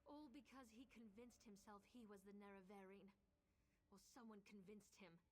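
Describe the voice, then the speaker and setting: An actress playing a determined, earnest young Dark Elf woman in a fantasy video game. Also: medium pitch, normal speed, close to an everyday voice. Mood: sombre. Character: determined young Dunmer woman, grieving ally in a fantasy quest. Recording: noisy game audio with ambience.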